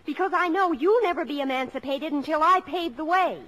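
A woman's voice: high voice, brisk, fairly bright tone, rough recording. An actress playing a rebellious, melodramatic teenage daughter who also narrates her memories in an old radio drama. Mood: determined. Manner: earnest, melodramatic, defiant. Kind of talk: 1950s radio acting. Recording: old radio broadcast with music.

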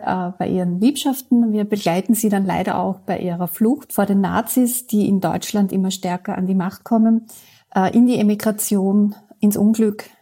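A woman reviews books in a monologue of recommendation. Her voice is medium in pitch, mellow and husky. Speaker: book reviewer.

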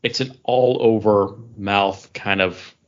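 A man's voice: lowish voice, fairly even intonation, slow, slight background noise. A man chatting casually as he hosts a podcast. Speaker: podcast host. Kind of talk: casual chat. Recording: dull, lo-fi podcast audio.

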